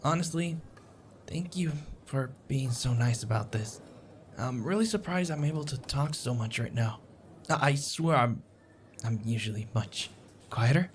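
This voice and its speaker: A man's voice: medium pitch, measured pace, slight background noise, lightly acted. A man playing a shy, adorkable, stammering stranger. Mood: shy, chatty. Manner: hesitant, stammering, self-deprecating, apologetic. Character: shy, anxious, adorkable young man, sweet and rambling. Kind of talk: audio roleplay, intimate one-sided conversation. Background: coffee shop ambience.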